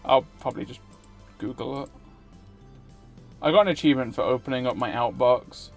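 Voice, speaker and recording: A man's voice: medium pitch, moderate pace, gravelly. A man streaming a visual novel, reading it aloud theatrically and dropping in casual asides. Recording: music behind the voice. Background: game music.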